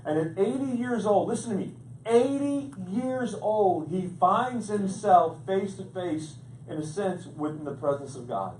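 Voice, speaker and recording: A male pastor preaching a humorous sermon, telling jokes in a playful, animated way. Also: sits high, unhurried. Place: large church hall. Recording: echoey hall, distant mic, background noise.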